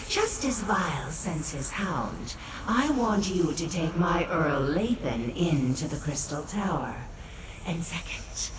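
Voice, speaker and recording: A scheming, sinister goddess of shadowy bargains. Her voice is very low and unhurried, swelling and dipping with imperious authority. It echoes as though from some distance, in a fantasy game. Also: heavily acted. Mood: slyly demanding. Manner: measured, imperious, slyly bargaining. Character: scheming female Daedric prince of spiders, regal and sinister. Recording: roomy echo, distant, noisy game ambience.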